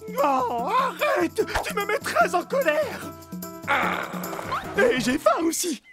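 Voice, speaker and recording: A woman's voice: very high voice, sing-song, takes it slowly, a bit bright, exaggerated voice. A woman playing a naive, sensitive male boar in a French cartoon, whiny and childlike in manner. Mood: flustered anger. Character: naive, sensitive, hungry male boar posing as pet cat. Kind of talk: French animated cartoon dub. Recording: cartoon music behind.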